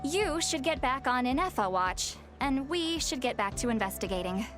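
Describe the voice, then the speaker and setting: A thoughtful young heroine in an anime game. The voice is fairly high, earnest and conversational, with soft music underneath. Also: female voice, big pitch swings, normal speed. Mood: brisk. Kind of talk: anime video game voice acting.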